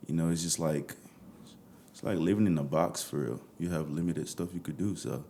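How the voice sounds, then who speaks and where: A man's voice: extremely low pitch, moderate pace, warm tone, slight background noise. A young Nigerian man with a Nigerian accent, talking casually as a musician guest in an interview.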